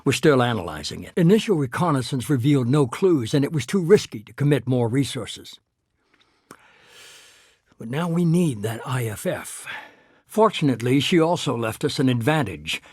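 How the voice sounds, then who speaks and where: An actor playing a cold, calculating middle-aged leader of a shadowy organization in a video game, speaking in a measured, authoritative way. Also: male voice, mid-range voice, husky, no room sound, studio quality. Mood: measured, persuasive. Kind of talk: scripted video game dialogue.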